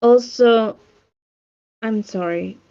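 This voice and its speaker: A woman's voice: on the high side, drawn-out words, warm tone, clean tone. A woman playing a commanding, unsentimental witch mentor in a screenplay table read. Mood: pleading. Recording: muffled online meeting audio.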